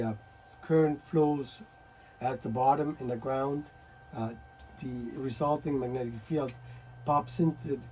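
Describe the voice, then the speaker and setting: A man presenting a technical talk on radio and earthquakes, pausing often with fillers and restarts. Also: medium pitch, mellow, slight background noise, low-quality recording. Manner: halting, many fillers and restarts.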